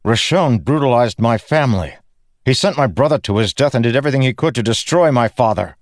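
A man voicing a guarded, weary young soldier who speaks low, earnest and clipped. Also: lively intonation, brisk, no room sound, studio quality, close to an everyday voice. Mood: tense.

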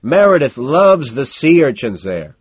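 Man acting a jovial yuppie socialite, audiobook character, loud, hearty, very animated, dry muffled recording. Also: medium pitch, unhurried, husky, dry recording, voice pushed up. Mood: jovial, breezy. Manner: loud, hearty, self-assured. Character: jovial, booming male yuppie socialite banker.

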